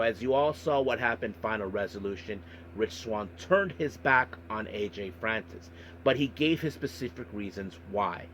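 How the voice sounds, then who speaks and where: A man hosting a podcast monologue, recapping and commenting on events in a halting way with repeated filler phrases. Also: mid-range voice, a bit bright, a little hiss or hum, rough recording. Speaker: wrestling podcast host.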